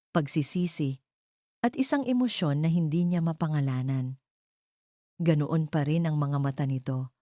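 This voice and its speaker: Female narrator for romantic audio drama; low, soft-edged mellow voice, dry close sound. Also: no echo. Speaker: storyteller.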